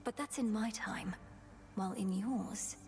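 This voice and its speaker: A woman playing an earnest, resolute young witch princess in a video game, speaking in a formal, measured manner. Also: medium pitch, darkish tone, lightly acted. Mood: serious, questioning. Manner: formal, measured, archaic phrasing. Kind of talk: video game drama acting.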